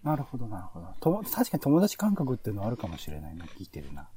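A man hosting a podcast in casual, analytical chat, often chiming in with quick agreement. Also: on the low side, huge pitch swings, mellow, breathy edge. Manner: frequent agreeing backchannels. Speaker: podcast host reviewing radio shows.

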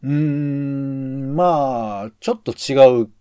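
A man's voice: medium pitch, slow pace, dark tone, clear, resonant tone, dry recording, clean studio sound. A man co-hosting a casual radio-review podcast, reflectively piecing his thoughts together in short phrases. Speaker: podcast co-host. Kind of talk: casual discussion of radio shows.

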